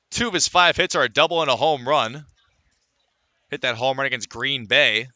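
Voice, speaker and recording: A man's voice: a little high, pushed and bright, no room sound. A man calling live baseball play-by-play in a radio commentary style, filling gaps with player statistics.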